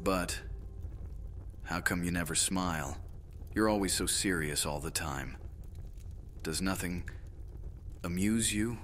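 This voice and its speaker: A man's voice: sits low, takes it slowly, pauses often, a bit bright, a little hiss or hum. A man playing an easygoing, teasing Roman soldier in an audio drama. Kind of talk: immersive audio drama roleplay. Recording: music behind the voice.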